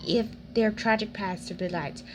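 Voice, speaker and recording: A woman giving casual, halting gameplay commentary, thinking aloud and repeating phrases as she plays. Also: medium pitch, noisy background. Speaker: gamer narrating a playthrough. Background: loud game music.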